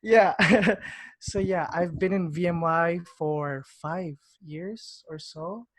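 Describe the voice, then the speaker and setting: A man's voice: fairly high pitch, slow, no room sound. Giggly eighteen-year-old young man. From Greece. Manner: giggly, joking. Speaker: guest. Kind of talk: casual self-introduction.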